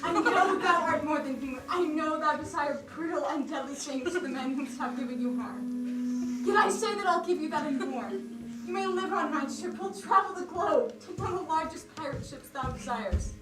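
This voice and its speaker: Lovestruck female pirate captain, played by a young woman, high and ardent, theatrical delivery in a distant, echoing hall. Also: expressive, normal speed, over music. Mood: ardent, wooing. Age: young adult. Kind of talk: theatrical stage acting in mock-archaic speech. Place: school theater stage, recorded from far off. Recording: echoey school stage, distant microphone.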